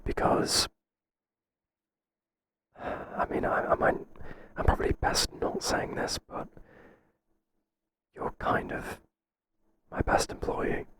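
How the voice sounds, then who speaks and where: A man playing a hesitant, flattering boss in a whispered ASMR roleplay, speaking softly and breathily in a halting, confiding way. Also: very noisy, lightly acted. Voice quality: soft, breathy, mostly whispered.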